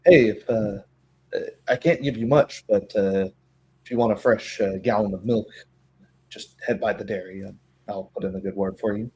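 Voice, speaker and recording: Man playing humble dairy worker woman, low-pitched, grateful, halting, polite, tabletop game. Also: a little mellow, slightly rough.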